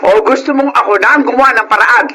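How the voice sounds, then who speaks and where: A woman's voice: fairly high pitch, fast, pushed and bright, a little hiss or hum. An actress with a Filipino accent playing a middle-aged, protective, indignant mother, scolding emphatically in a radio melodrama. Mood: scolding, insistent.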